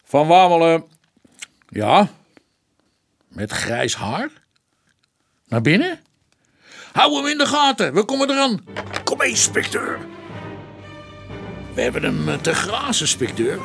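High, bright, cheeky police sergeant. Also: male voice, takes it slowly, creaky, pauses often, soft background music, exaggerated voice. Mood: urgent. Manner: excitable, jokey, informal. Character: eager, cheeky police sergeant, the inspector's sidekick. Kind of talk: radio drama acting.

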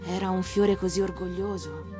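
A woman's voice: lowish voice, fairly even intonation, moderate pace. A woman reading a fairy tale expressively, as an actress narrating a storybook for radio. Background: music.

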